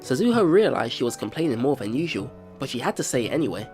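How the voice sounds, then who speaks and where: A man hosting a let's play, reading visual novel narration aloud in an expressive storytelling way. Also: medium pitch, fast, soft background music.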